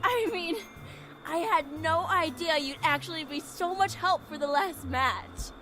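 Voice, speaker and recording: Tipsy tsundere anime girl, young adult, high voice, slow drunken defensive lines, game music behind. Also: pushed and bright, full character voice. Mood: softened, tipsy. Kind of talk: visual novel game voice acting.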